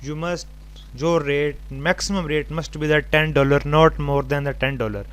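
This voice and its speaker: A man presenting an unscripted step-by-step tutorial, haltingly thinking aloud as he types. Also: on the high side, fairly even intonation. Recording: home recording with clear background noise.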